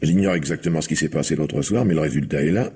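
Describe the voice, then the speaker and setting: An actor playing a self-satisfied middle-aged factory owner in a French radio drama, gravelly and husky, patronising yet hesitant. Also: male voice, extremely low pitch, fast, dark tone, full character voice. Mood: condescending. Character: self-satisfied factory owner pushing out his brother's household.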